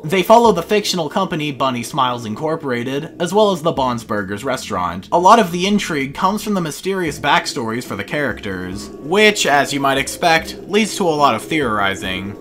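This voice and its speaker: A man's voice: fairly high pitch, big pitch swings, fairly quick, few pauses, a bit bright, soft background music. A man narrating a scripted video essay in an informal, opinionated way.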